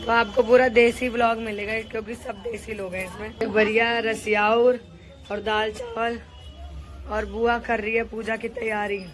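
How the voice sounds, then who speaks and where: Brief: hoarse woman vlogger, pitched a bit high, chatty and teasing, filming on a phone. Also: little pitch movement, moderate pace. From Uttar Pradesh, India. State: hoarse, sore throat. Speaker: vlogger host. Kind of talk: casual family vlog chatter.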